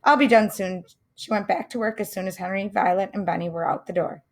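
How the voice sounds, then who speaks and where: Lady reading a kids' mystery out loud, a bit of vocal fry, stops to chat about clues. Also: medium pitch. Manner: pauses to comment and wonder aloud to listeners. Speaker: teacher reading aloud. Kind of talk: read-aloud storytelling with asides.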